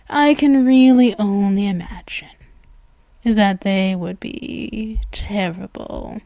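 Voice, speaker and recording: A slow, seductive vampire queen. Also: medium pitch, no room sound. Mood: mocking. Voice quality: purring, drawn-out delivery with soft whispers. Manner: slow, teasing, threatening. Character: commanding female vampire, seductive and menacing. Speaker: ASMR roleplay voice actress. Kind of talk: ASMR roleplay. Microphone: close mic.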